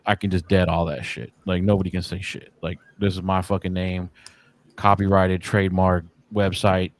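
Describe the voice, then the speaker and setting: Laid-back low-voiced man in his forties. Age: 40s. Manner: laid-back, profane, slangy, many fillers. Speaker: podcast guest. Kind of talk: casual chat.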